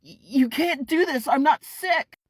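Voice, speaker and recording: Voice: mid-range voice, unhurried, vocal fry, mellow, slightly rough, no echo, studio quality, voice pushed up. A man voicing a panicked young boy who stammers and pleads not to be left behind. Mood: desperate.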